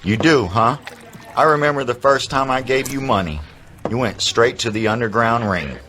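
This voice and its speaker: A man's voice: medium pitch, normal speed, sharp tone, close to an everyday voice. A man playing a needling, bossy drinking companion in an audio drama.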